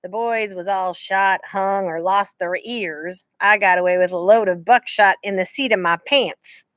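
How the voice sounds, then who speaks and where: A woman's voice: mid-range voice, a little slow, fairly bright tone, dry recording, studio quality, lightly acted. Storybook outlaw, big reformed road agent with a drawl, read by a woman. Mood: amused. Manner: drawling, folksy bad grammar. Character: big gruff male ex-outlaw, folksy drawl.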